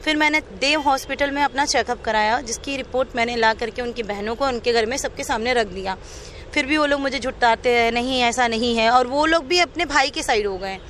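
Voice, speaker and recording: A woman's voice: a little high, a little fast, fairly bright tone, slight background noise. A young woman giving a personal account in an interview, recounting her grievances at length.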